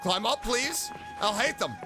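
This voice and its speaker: A man's voice: sits high, lively intonation, measured pace. A man giving casual, exclamatory gameplay commentary as a streamer, muttering his reactions. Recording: game music behind the voice. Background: game music.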